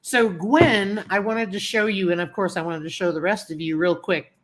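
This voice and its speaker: A woman's voice: mid-range voice, fairly even intonation, moderate pace. A woman hosting a casual livestream, chatting with viewers by name and a little sniffly from a cold. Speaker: livestream host. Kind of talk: casual live chat greeting viewers.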